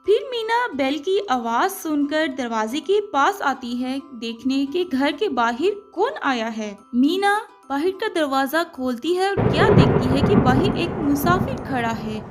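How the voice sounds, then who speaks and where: High-pitched woman narrating a children's story. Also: animated, measured pace, few pauses, faint music behind.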